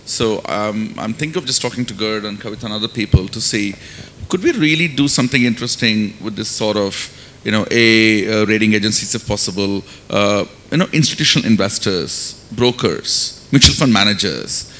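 A man's voice: expressive, brisk, a bit bright. A middle-aged man with an Indian accent speaks emphatically as a panelist, posing rhetorical questions into a handheld mic on a conference stage. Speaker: panelist, finance academic. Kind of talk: panel discussion remarks. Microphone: handheld microphone. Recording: faint noise and low rumble.

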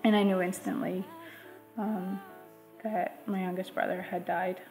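A woman in her thirties telling a personal story in a quiet, measured way, in a living room. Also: on the low side, little pitch movement, soft background music, poor audio. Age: 30s. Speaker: grieving sister. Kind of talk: personal testimony.

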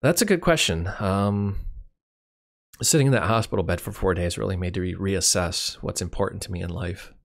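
A middle-aged man hosting his own channel, talking casually and candidly through his year, his voice hoarse and cracking. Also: low-pitched, moderate pace. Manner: candid, rambling, wry. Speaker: YouTube channel host. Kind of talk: casual year-in-review monologue.

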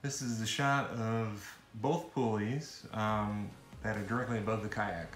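A middle-aged man giving casual, halting, unscripted how-to narration while thinking aloud. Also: fairly low pitch, fairly even intonation. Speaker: vlogger demonstrating a DIY setup. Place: indoors in a cluttered home garage. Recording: echoey garage, faint music.

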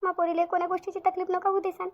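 An anxious, doting village mother played by a woman in a cartoon family story. She talks fast and high with a Vidarbha Marathi accent, pleading and saying things over again. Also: flat delivery, dull audio. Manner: pleading, repetitive. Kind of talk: amateur cartoon story dialogue.

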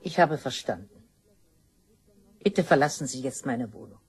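A woman's voice: rumbling low, lively intonation, normal speed, darkish tone, husky, heavily acted. An actress playing an evasive, curt older woman doctor in a crime radio play. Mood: guarded, evasive.

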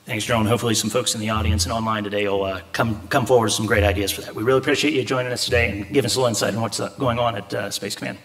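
A man in his thirties moderating a conference interview from a stage, asking quick, informal questions. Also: lowish voice, fairly even intonation, fairly bright tone.

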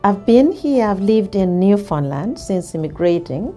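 A middle-aged woman from Uganda, an English teacher, speaking to camera in a measured, thoughtful way with many pauses and restarts. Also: mid-range voice, lively intonation, dark tone, faint music behind. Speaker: ESL teacher. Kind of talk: interview to camera. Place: indoor studio, plain grey backdrop.

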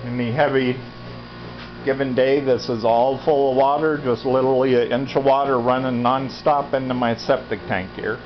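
A man in his fifties with an American accent talks casually and matter-of-factly as he walks around with a handheld camera. His delivery rambles at an ordinary middle pitch. The audio is dull and lo-fi, with music clearly playing behind him. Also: steady tone, normal speed. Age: 50s. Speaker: vlogger, farm resident. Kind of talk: casual handheld walk-through commentary. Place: indoors, cluttered concrete basement. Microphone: handheld camera at arm's length. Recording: dull lo-fi camera audio, loud background sound. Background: loud continuous radio playing.

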